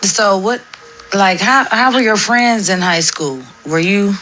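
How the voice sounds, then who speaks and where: A woman hosts a casual interview podcast, asking questions in a chatty, slangy manner and laughing easily. Her voice is bright and clear. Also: medium pitch, measured pace. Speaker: podcast host.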